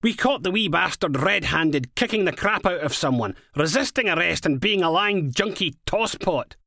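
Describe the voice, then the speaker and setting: Audiobook role: male reader as scornful Scottish female inspector, raised pitch, animated, cynical and sweary. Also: normal speed, vocal fry, no room sound, clean studio sound, heavily acted. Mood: indignant. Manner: sweary, sardonic, uses Scottish slang. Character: brash, foul-mouthed, cynical female police inspector, scornful and impatient.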